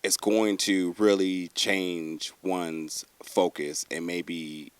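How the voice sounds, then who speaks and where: A man with a low-pitched voice hosts a conversational interview. He talks in a rambling, enthusiastic way as he works toward his questions. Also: little pitch movement, extremely slow, clear, resonant tone, no room sound.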